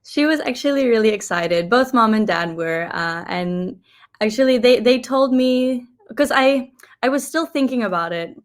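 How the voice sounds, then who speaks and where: A woman's voice: fairly high pitch, lively intonation, moderate pace. A young woman from New Zealand, a pageant contestant, answering interview questions in a reflective, earnest way and slipping in Filipino phrases.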